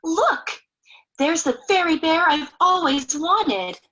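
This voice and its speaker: A woman's voice: extremely high pitch, expressive, slow pace, bright, forceful tone, muffled recording, pitched above the performer's own voice, exaggerated voice. A woman voicing an eager, sweet little girl in a storybook reading. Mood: excited longing.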